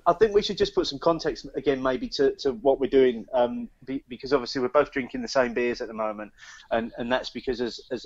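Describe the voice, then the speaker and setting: A man's voice: mid-range voice, no echo, lo-fi sound. A British man co-hosting a casual beer podcast, often repeating words as he gets his sentences going. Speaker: podcast co-host.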